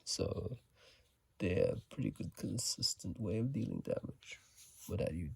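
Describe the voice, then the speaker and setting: Man, hushed ASMR whisper, very slow, dark soft tone, breathy, halting, sleepy, close dry phone recording. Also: deep voice, dry recording. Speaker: video host. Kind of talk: casual game commentary. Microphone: close phone microphone.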